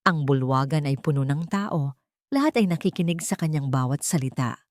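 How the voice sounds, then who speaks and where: Female audiobook narrator, low register, dry close sound, earnest storytelling. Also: expressive, moderate pace, a little mellow, no room sound.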